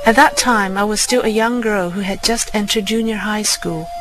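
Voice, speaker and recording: A woman's voice: medium pitch, normal speed, rough recording. A woman reading a scripted first-person fairy tale as a storybook narrator. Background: music.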